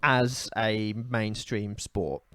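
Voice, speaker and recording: A man's voice: lowish voice, very slow, sharp tone, smooth, no room sound, clean studio sound. A man co-hosting a casual comedy podcast, giving strong opinions in a rambling, ranting way with many fillers. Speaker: podcast co-host.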